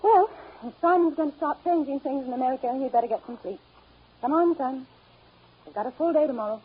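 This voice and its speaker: A woman's voice: high-pitched, a little fast, phone-quality sound, close to an everyday voice. An actress playing an earnest American wife and mother in 1930s radio drama style, on a scratchy old broadcast. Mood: gentle, concerned.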